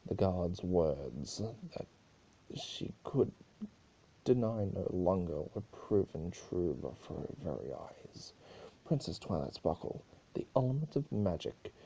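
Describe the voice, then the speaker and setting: A man's voice: low-pitched, creaky, dark tone, slightly rough, poor audio. A man giving an amateur dramatic reading of a story, now and then stumbling over his words. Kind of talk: dramatic scripted narration.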